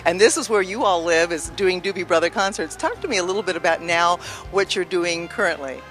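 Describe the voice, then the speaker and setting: A woman hosting an interview show in a brisk, chatty style, eagerly prompting and praising her guests. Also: fairly low pitch, fairly bright tone, soft background music.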